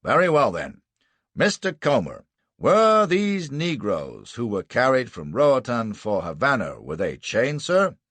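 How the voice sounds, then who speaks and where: A man voicing a bullish male prosecuting lawyer in an audiobook, bawling and hectoring with emphatic, deliberate questions. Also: medium pitch, huge pitch swings, a bit bright, no echo, voice pushed up, full character voice. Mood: protesting. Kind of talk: audiobook character acting.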